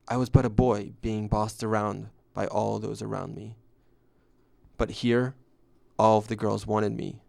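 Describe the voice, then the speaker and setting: A man's voice: lowish voice, creaky, a little mellow, no room sound. A man playing a former usher, reflectively narrating his story in scripted first person.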